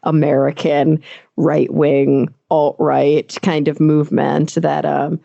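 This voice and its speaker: A young adult woman hosting a casual podcast interview, chatty and animated, laughing often and using fillers like "like" and "um". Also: low voice, takes it slowly, creaky, dry recording, highs cut off. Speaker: podcast host.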